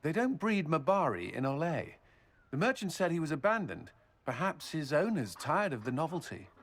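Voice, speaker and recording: An actor playing a gruff but soft-hearted military commander in a video game. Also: male voice, on the high side, very animated, normal speed. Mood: sheepish, fond.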